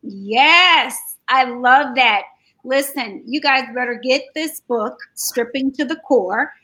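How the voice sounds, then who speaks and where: A woman hosts an interview and pushes her guest's work with real enthusiasm. Her voice sits high and sounds bright. She speaks at a slow, deliberate pace. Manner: enthusiastic, emphatic endorsements. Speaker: interview host. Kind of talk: promotional interview hosting.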